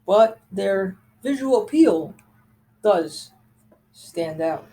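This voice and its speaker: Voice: medium pitch, lively intonation, extremely slow, mellow. A host casually showing and reviewing items from a collection, talking informally and with enthusiasm. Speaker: host of a gadget collection video. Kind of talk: casual show-and-tell product review.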